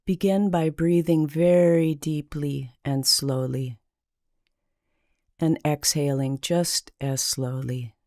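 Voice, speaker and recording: Slow, low, mellow woman guiding meditation. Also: no echo, studio quality. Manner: slow, calming, deliberate with long pauses. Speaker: meditation guide. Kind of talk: guided meditation narration.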